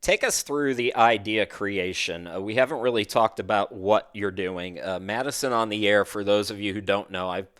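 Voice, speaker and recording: A man's voice: fairly low pitch, moderate pace, hardly any pauses, fairly bright tone, clear, resonant tone. A man hosting a podcast in a casual, chatty interview style, with a self-deprecating, rambling manner. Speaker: podcast host.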